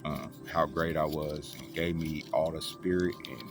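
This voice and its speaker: A man's voice: a bass voice, flat delivery, normal speed. A young man telling his life story in a rambling, profane, casual way, with many restarts. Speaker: vlogger. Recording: loud music playing behind the voice.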